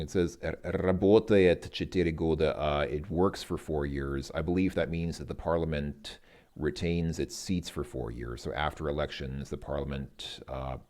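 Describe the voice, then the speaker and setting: A man giving an informal language lesson, reading and translating aloud in a halting way as he thinks through each word. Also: a bass voice, a little mellow. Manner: halting, thinking aloud, sounding out words slowly. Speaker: host working through a Russian lesson as a learner.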